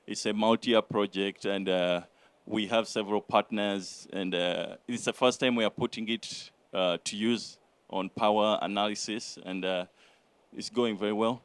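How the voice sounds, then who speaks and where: A man answering judges' questions at a startup pitch, halting and full of fillers. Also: steady tone, clear, resonant tone, no room sound. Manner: hesitant, many fillers. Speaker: startup founder.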